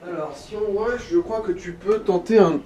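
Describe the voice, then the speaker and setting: A man's voice: high-pitched, normal speed, crowd noise. A man chatting casually as co-commentator, giving advice to someone playing a game. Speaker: co-commentator advising the player. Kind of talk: casual gaming chat.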